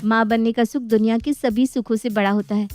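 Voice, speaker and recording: A woman's voice: fairly high pitch, steady tone, brisk, a little mellow, faint music behind, close to an everyday voice. A woman voicing a cheerful, self-assured young daughter-in-law who talks in a gushing, breezy, oblivious way. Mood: breezy, delighted.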